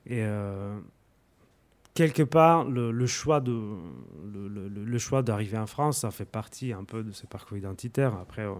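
A young Italian man with an Italian accent, a musician answering interview questions in a halting way, full of fillers and restarts. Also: on the low side, expressive. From Italy. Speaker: musician guest.

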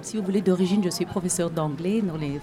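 A woman's voice: on the low side, very fast. A woman who teaches English and organizes cultural events, explaining her work in a friendly way. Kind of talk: interview answers. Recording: outdoor crowd noise. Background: crowd chatter.

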